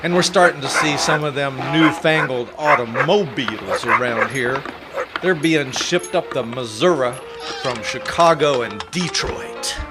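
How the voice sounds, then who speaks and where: A man's voice: mid-range voice, unhurried, loud music behind the voice, natural voice. Folksy Western radio narrator, played by a man. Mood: wry, reminiscing. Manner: folksy, drawling cowboy-era phrasing. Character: folksy early-1900s Kansas City Western narrator. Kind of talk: old-time radio play narration.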